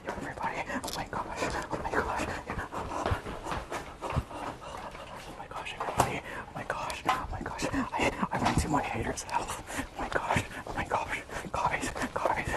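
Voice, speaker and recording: A man chatting casually on a livestream, hesitant and repeating himself as he talks. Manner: hesitant, repetitive, mixes English and Japanese. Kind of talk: casual livestream chat with viewers.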